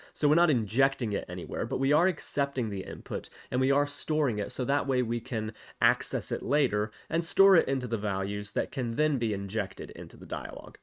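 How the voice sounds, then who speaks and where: Man narrating a tutorial with lively, varied pitch. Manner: explanatory, conversational, thinking aloud. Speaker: tutorial host. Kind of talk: casual step-by-step software tutorial narration. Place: indoors at a computer, voice-over for screen recording.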